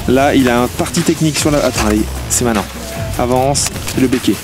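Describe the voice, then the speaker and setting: A young man commenting on friends' ski competition runs outdoors on a mountain, animated and teasing, recorded on an action camera. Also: medium pitch, a little fast. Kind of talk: excited live commentary. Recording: music playing underneath.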